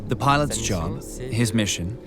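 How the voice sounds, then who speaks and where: A man with a low-pitched voice delivers a documentary interview voice-over. He explains in the first person, with music clearly playing behind him. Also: expressive, unhurried.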